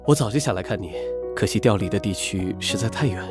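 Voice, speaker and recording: A man voicing a courteous, affable knight officer in an animated drama, polite and formal in his delivery. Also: lowish voice, fast, natural voice. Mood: friendly. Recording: loud music behind. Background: music.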